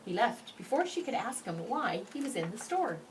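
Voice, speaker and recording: A woman reads a children's story aloud with deliberate, emphatic phrasing. Her melody is very lively and varied. The mic is slightly distant, with faint noise behind her. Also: fairly high pitch. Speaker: storybook reader. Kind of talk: expressive children's read-aloud narration.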